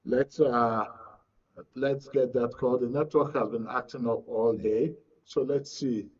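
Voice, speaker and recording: A man's voice: medium pitch, fairly even intonation, unhurried, darkish tone, husky, muffled recording. A man with a Nigerian accent hosting live election coverage, courteous and often repeating his phrases. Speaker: programme host.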